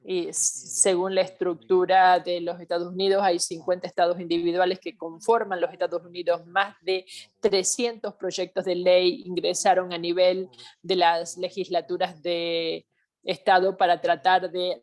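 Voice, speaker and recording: A woman simultaneously interpreting a health training webinar, speaking in halting phrases with pauses and self-corrections. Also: on the low side, darkish tone. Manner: halting delivery with pauses and restarts.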